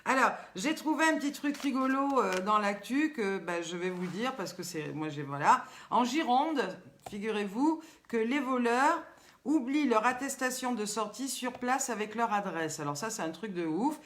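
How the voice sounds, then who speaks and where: An older woman with a warm Southern French accent runs a live show for her viewers. She talks in a lively, teasing way, posing riddles and calling out to people by name. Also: a little high, moderate pace. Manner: lively, teasing, chatty, reads viewers' comments aloud. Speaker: host. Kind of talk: live-stream chat with viewers.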